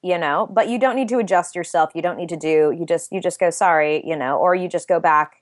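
A young adult woman guest talking thoughtfully in an interview, with a soft, soothing voice and frequent restarts. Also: fairly low pitch, fairly quick, dry recording, clean studio sound. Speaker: interview guest, writer and voice actor. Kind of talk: casual interview chat.

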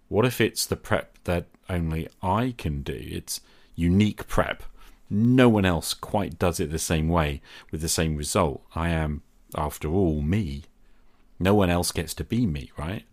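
A man hosting a podcast, speaking reflectively in deliberate short phrases and posing rhetorical questions. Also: low-pitched. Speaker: podcast host. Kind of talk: reflective solo podcast monologue.

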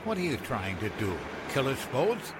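A man's voice: medium pitch, sing-song, moderate pace, heavy background noise, natural voice. An actor playing a well-to-do, condescending man about town as a cab passenger in an old-time radio drama. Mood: uneasy.

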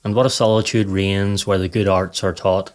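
A man narrating a scripted guided meditation in a calm, measured, soothing way. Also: low voice.

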